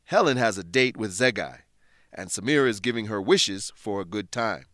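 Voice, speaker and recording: A man narrating a scripted English lesson, explaining clearly and deliberately as a teacher. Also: on the low side, lively intonation, fairly bright tone, no echo, clean studio sound.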